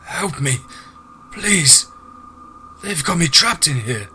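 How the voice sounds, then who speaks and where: A man's voice: on the high side, big pitch swings, slow, bright, forceful tone, a little hiss or hum, pitched above the performer's own voice, full character voice. A man voicing a desperate caged prisoner in a horror story, pleading in a strained way. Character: desperate caged male prisoner begging for rescue.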